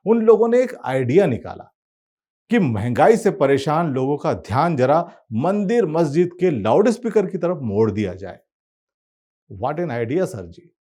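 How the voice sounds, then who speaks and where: A man's voice: fairly high pitch, darkish tone. A man presenting a satirical monologue to viewers in a wry, conversational storytelling manner.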